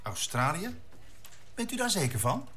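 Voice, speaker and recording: An actor playing an officious air traffic control chief in a radio play. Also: male voice, sits high, very animated, unhurried, a bit bright, full character voice. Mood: puzzled.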